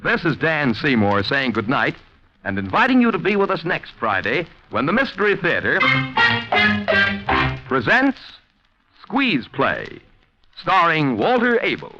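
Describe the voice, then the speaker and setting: A man's voice: a little high, huge pitch swings, measured pace, long pauses. An American man working as an announcer, reading a scripted 1940s radio sign-off. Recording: muffled 1940s radio recording with music. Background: music.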